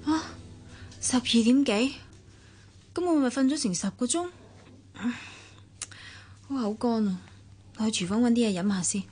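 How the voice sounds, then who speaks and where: A woman's voice: on the high side, a little slow, pauses often, darkish tone, natural voice. A woman with a Hong Kong accent acting a weary, proud young wife in a radio drama, with a cutting, sardonic manner. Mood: groggy, weary. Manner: cutting, sarcastic. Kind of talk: Cantonese radio drama acting, monologue and dialogue.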